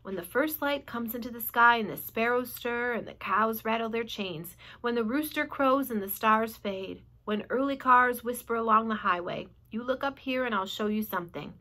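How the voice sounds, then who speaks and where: A woman voicing a wise, gentle female spider who speaks slowly and soothingly. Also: fairly high pitch.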